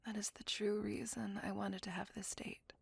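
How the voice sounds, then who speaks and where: A woman performs a melancholy, courteous female vampire in an intimate ASMR roleplay. Her soft voice is very breathy and close, with a dry sound. She speaks slowly and formally, with wistful tenderness. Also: mid-range voice, no echo, full character voice.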